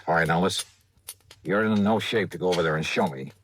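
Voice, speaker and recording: Tough radio detective, lowish voice, clipped, terse, dogged, hard-boiled 1950s drama, faint hiss and clicks. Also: male voice, fast talker, fairly bright tone. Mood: earnest, determined. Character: tough, dogged male insurance investigator hero, terse. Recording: old radio recording with faint noise, clicks.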